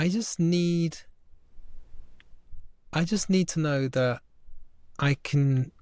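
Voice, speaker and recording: Halting Italian-born man, slight northern English tinge. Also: mid-range voice, a little mellow, no room sound, studio quality. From Italy. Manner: halting, many restarts and fillers. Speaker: photographer guest. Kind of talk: casual interview conversation.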